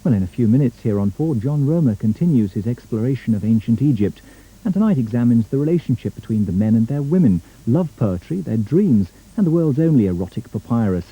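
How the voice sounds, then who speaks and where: A man's voice: mid-range voice, expressive, brisk, husky. A British man working as a television continuity announcer, reading scripted links and forecasts. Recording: slightly dull 1980s TV recording.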